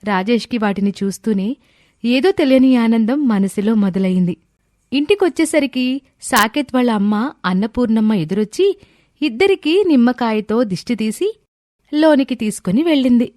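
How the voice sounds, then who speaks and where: A woman narrating a scripted moral story in a clear storytelling delivery. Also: a little high, animated, moderate pace, darkish tone, no room sound, studio quality. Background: background music.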